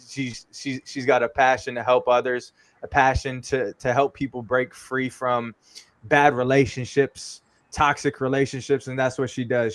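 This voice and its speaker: A man's voice: mid-range voice, dry recording. A man co-hosting a podcast, introducing a guest in an upbeat, easygoing way with casual slang. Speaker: podcast co-host.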